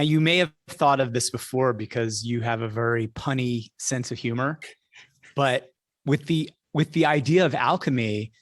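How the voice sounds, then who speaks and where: A man hosting an interview, speaking to his guests in a conversational style. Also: medium pitch. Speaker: interview host.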